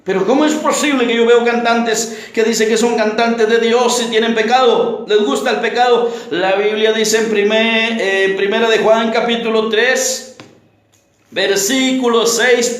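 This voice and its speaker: Male preacher, very high voice, fiery sermon delivery, emphatic repetition, declamatory, strongly reverberant sound. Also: fairly even intonation. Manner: emphatic, repetitive, rhetorical questions, drawn-out key phrases; declamatory, often rising to shouts. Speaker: preacher, pastor. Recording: very echoey, reverberant room sound.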